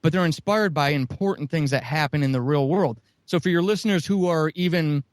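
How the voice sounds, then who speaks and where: A man's voice: a little high, brisk, dry recording. Rambling, swearing man on a dry mic. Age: middle-aged. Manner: rambling with restarts, profane. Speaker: podcast guest, audio drama writer. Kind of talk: casual podcast interview.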